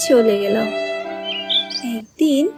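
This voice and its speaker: A woman narrates a cartoon storybook tale in a fairly high voice. Her scripted delivery is slow, and music plays clearly underneath. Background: music and birdsong.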